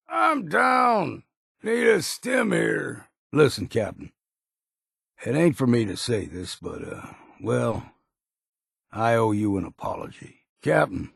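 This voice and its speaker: An actor playing a grizzled old hard-drinking islander in a video game, with a gravelly, husky voice and a wry, folksy drawl. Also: male voice, on the high side, fairly bright tone, no room sound, clean studio sound, exaggerated voice. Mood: pained, alarmed, then sheepish, apologetic. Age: older. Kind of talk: video game character voice lines.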